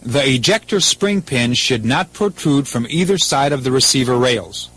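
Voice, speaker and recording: A man's voice: medium pitch, animated, moderate pace, fairly bright tone, husky, low-quality recording. A man with an American accent reading scripted instructions as a 1980s military training film narrator, in a formal, methodical, impersonal manner.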